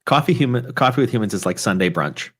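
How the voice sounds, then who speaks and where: A man's voice: medium pitch, animated, quick pace, slightly rough. A man hosting a casual live-streamed talk show, chatty and rambling with frequent restarts. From Chicago area.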